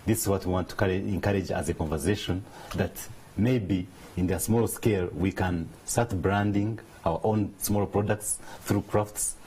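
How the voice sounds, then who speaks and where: A man, a business leader answering interview questions in a measured, earnest way. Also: deep voice, steady tone, darkish tone, a little hiss or hum, rough recording. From Uganda. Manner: measured, earnest, explanatory, with restarts. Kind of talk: studio interview.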